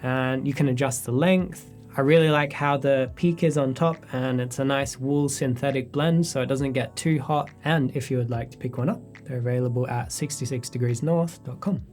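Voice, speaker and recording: A man in his thirties, a photographer, answering viewer questions in a relaxed, reflective sit-down chat in his studio. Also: mid-range voice, normal speed, hardly any pauses, soft background music. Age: 30s. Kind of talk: casual sit-down Q&A. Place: his studio space, indoors.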